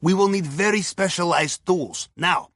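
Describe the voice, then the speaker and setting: Bright, husky Russian mission handler. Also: male voice, sits high, measured pace, no room sound, studio quality, full character voice. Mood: brisk, encouraging. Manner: wry, theatrical, conspiratorial. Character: genial, wily Russian submarine captain's aide and smuggling accomplice. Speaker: mission handler over comms. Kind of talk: video game character dialogue.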